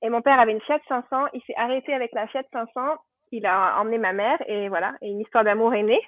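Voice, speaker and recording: A woman with a fairly high voice tells personal stories in a chatty, conversational way, working out details as she speaks. The sound is narrow and phone-like, very dry with no room around it. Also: fast talker, no echo. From Normandy, France. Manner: chatty, anecdotal, thinking aloud. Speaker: podcast guest. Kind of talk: casual personal storytelling. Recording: thin phone-like band, dry.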